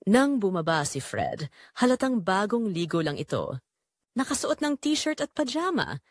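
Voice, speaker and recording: Woman, Filipino accent, dramatic story narration, mid-pitched voice, animated intonation, dry recording. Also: moderate pace, no room sound. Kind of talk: scripted first-person dramatic narration.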